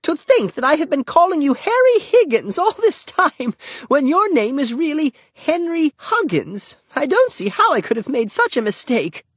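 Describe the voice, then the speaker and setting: A man voicing a fussy, apologetic older woman neighbor who gushes in high, drawn-out exclamations. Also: wildly swooping pitch, a bit bright, no room sound, heavily acted. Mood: gushing. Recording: dull lo-fi dry recording.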